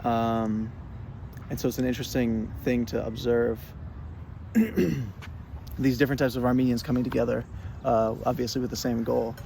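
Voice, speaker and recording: A young man from Fresno, California, answering oral history questions in a halting way, full of "um"s and restarts. His voice sits in an ordinary middle pitch. Traffic and street noise run clearly under the recording. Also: fairly even intonation, mellow. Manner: hesitant, many fillers and restarts. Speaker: interviewee.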